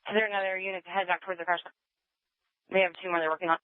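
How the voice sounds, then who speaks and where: A male police dispatcher with a high, clear voice comes through a tinny scanner. He repeats units' reports in terse, clipped phrases. The delivery is quick and procedural. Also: flat delivery, no room sound. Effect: police radio. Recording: tinny narrow-band police scanner audio.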